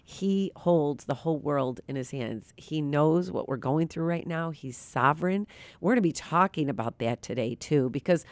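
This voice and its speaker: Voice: mid-range voice, lively intonation, moderate pace, dry recording. A radio talk show host with a nasal, tired voice, talking earnestly and loosely about faith with many fillers, live from a studio. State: tired from little sleep.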